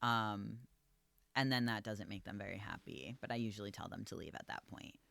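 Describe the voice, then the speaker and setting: A man who manages a coffee shop, talking casually and calmly, with a voice that projects and lots of "like" and "um.". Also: lowish voice, fairly quick, gravelly, no room sound. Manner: calm, easygoing, filler-heavy with lots of "like" and "um". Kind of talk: casual podcast chat.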